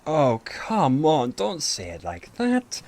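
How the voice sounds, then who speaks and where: Man acting a slow, lively male archangel. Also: on the high side, full character voice. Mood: amused, bantering. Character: protective male archangel guardian, bantering and slightly flustered. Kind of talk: scripted audio drama acting.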